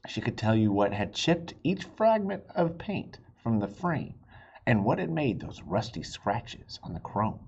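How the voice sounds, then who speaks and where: A man with a mid-pitched, rough-edged voice reads to children as a storytime host. His delivery is highly animated and expressive, with explanatory asides for young listeners. The recording sounds a little dull and lo-fi. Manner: animated, pausing to explain and ask listeners questions. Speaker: children's read-aloud host.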